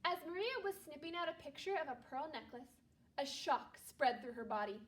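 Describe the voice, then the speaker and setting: A woman teacher reading a storybook aloud to young children, speaking to them directly in an animated way. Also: high-pitched, a little fast, far from the mic. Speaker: second grade teacher.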